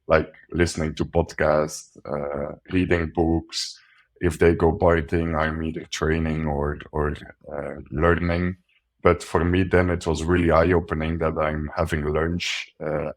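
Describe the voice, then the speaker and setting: Very deep-voiced man, flat delivery. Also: measured pace. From coastal Belgium. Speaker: guest, sales account executive. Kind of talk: casual interview talk.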